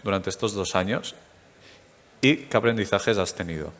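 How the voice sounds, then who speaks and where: A man's voice: deep voice, moderate pace, a bit bright. Hesitant man interviewer in a reverberant hall. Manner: hesitant, with many fillers. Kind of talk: stage interview at a tech event. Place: event stage.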